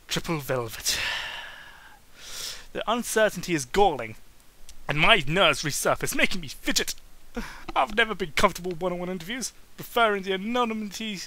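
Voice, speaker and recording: Guy doing a mocking dramatic book reading, bright voice, swoops all over, stumbles a bit. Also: high voice, low-quality recording. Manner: theatrical, mocking, often stumbling over words. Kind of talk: comedic dramatic book reading with muttered asides.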